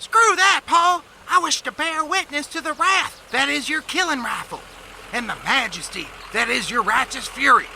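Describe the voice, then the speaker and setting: Shrill, excitable farm boy. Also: moderate pace, creaky, a little hiss or hum, exaggerated voice. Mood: bloodthirsty glee. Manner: pompous florid speech mixed with hick talk. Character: excitable bloodthirsty young farm boy, grandiloquent son. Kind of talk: comedic western audio drama.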